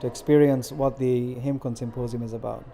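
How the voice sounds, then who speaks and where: A middle-aged man answers questions earnestly and at a measured pace, pausing often on fillers. His voice is mellow and dark, sitting in an ordinary middle pitch. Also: fairly even intonation, gravelly, a little hiss or hum. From India. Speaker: interviewee, community organizer. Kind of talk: interview answers.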